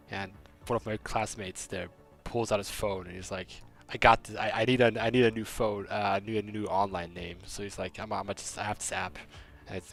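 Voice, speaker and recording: A young man from Germany with a slight German accent, chatting casually on a game stream and rambling through a story with many restarts. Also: lowish voice. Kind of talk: casual stream chat, reading chat.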